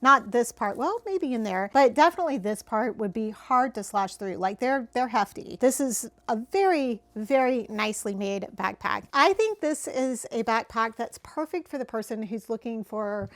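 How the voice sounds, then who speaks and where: A middle-aged woman giving a chatty product review to camera, slightly hoarse from losing her voice, often repeating words for emphasis. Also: fairly high pitch, lively intonation, normal speed, few pauses, a bit bright, dry recording. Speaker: travel gear reviewer.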